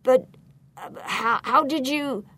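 A woman's voice: fairly low pitch, expressive, measured pace, no room sound. A woman voicing a flustered, innocent father under suspicion in a storybook, speaking in an earnest, hesitant way. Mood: stuttering, shocked.

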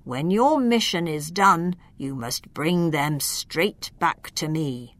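Old wizard guy, low and slow, kind and calm, woman putting on the voice. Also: no room sound, exaggerated voice.

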